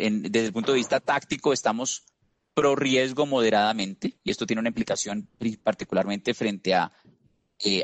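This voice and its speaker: A man with a Colombian accent and a fairly low voice, walking through market views in a steady, measured way. He fills pauses with frequent "eh"s and restarts phrases. The sound is dry and close. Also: dry recording. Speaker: investment strategist guest.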